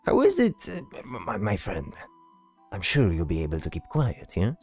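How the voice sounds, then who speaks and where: Man as courteous bard spellcaster for fantasy audio drama. Low, lively pitch, halting and showy. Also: soft background music. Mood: pleased, courteous. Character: chatty, polite, hesitant male bard spellcaster.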